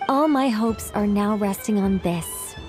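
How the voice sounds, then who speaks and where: A woman's voice: mid-range voice, unhurried, darkish tone, natural voice. A woman voicing a proud, needy, whimsical ancient mage in an anime game, theatrical, chatty and self-conscious. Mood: excited. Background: music.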